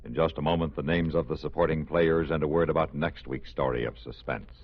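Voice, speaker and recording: An American man announcing a vintage radio suspense series in a measured, formal, dramatic style. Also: rumbling low, fairly even intonation, slight background noise. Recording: dull old radio recording with music.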